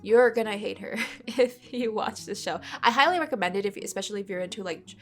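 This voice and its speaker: A woman's voice: mid-range voice, brisk, faint music behind. Congested, chatty woman in her twenties. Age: 20s. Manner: rambling and chatty, swears often. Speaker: art vlogger. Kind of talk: casual chat while sketching. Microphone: USB desk microphone.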